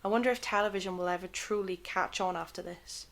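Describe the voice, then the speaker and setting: A woman's voice: on the low side, quick pace, creaky, a bit bright. A woman playing a wistful, uncertain 1930s television worker in an audio drama, speaking pensively.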